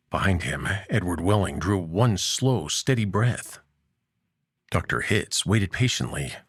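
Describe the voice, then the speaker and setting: A man narrating a scripted audio story as its host, in a measured, dramatic way with deliberate pauses. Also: on the low side, slightly rough, no room sound, clean studio sound.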